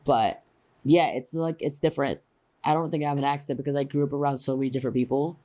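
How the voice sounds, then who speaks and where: A woman's voice: extremely low pitch, fairly even intonation, fast talker, dark tone. A woman whose first language is Khmer, a guest telling stories in a casual chat and asking lots of questions. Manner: chatty storyteller, asks lots of questions. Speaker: podcast guest.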